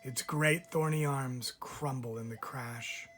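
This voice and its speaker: A man reads a children's picture book aloud in a measured, expressive way, pausing deliberately like a teacher telling a story to a class. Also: mid-range voice, faint music behind.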